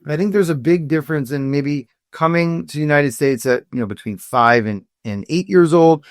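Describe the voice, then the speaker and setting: A man's voice: on the high side, normal speed, no echo, studio quality. A man who grew up in South Florida, hosting a podcast interview in an upbeat, conversational way. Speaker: podcast host, attorney. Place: podcast studio.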